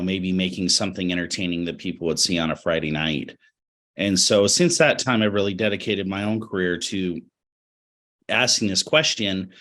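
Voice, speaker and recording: A middle-aged American man with a low-pitched voice, a little raspy from a cold. He is a webinar guest reflecting on his work, choosing his words in a measured, halting and earnest manner. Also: fairly bright tone. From Utah, United States. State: has a cold. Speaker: interview guest, education professional.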